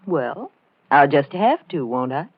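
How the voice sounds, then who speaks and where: A woman's voice: deep voice, very animated, slow, husky, exaggerated voice. A woman playing a genteel, polite, unsuspecting lady in a 1940s radio drama. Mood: light, sociable. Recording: muffled, noisy 1940s radio recording.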